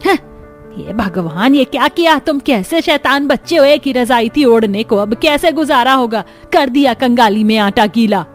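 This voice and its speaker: A woman's voice: a little high, lively intonation, moderate pace, soft background music, lightly acted. A woman playing a grumbling, exclaiming older mother-in-law in a cartoon. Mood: exasperated.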